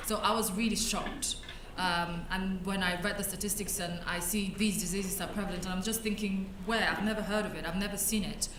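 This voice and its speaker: A young woman from Cameroon talks a conference audience through her slides, her voice at an ordinary middle pitch. She speaks conversationally, pausing on fillers and restarting phrases as she goes. The sound is roomy and a little distant, with faint hall ambience behind her. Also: steady tone, background noise. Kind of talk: conference talk presenting slides. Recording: echoey hall, mic at a distance.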